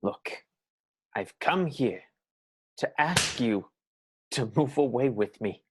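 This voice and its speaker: A man's voice: medium pitch, animated, slow pace, husky. A man playing a weary, earnest suitor in an improvised radio soap, on a noisy clicking recording.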